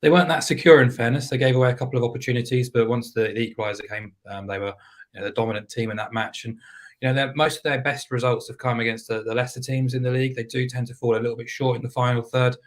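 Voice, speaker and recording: A man talking through football bets on a casual podcast, a little hoarse and hesitant, with plenty of fillers. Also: medium pitch. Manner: measured, hesitant with fillers, flat delivery. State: losing his voice. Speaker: football betting pundit.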